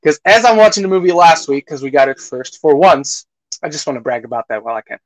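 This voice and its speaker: High-voiced man co-hosting a podcast. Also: quick pace, low-quality recording. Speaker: podcast co-host. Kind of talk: casual movie discussion.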